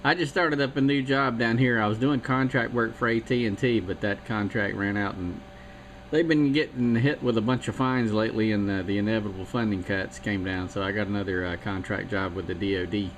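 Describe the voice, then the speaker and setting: A man's voice: lowish voice, fairly even intonation, a little fast. A man from South Carolina checking in on a CB radio net, rambling in a casual, chatty way and laughing at himself. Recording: noisy CB radio.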